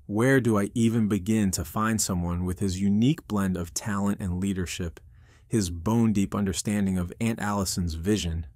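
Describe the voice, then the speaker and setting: A man's voice: fairly low pitch, fairly even intonation, moderate pace, a little mellow, no room sound, lightly acted. A man voicing an anguished army veteran who runs a restaurant, narrating his own story in an intense, earnest audio drama style. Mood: brooding. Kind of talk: dramatic first-person scripted narration.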